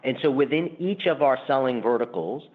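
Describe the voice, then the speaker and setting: A man's voice: mid-range voice, lively intonation, a little fast. A man speaking as a company executive, explaining the business emphatically and semi-formally in answers on an earnings call. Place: conference call. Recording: muffled, band-limited conference call line.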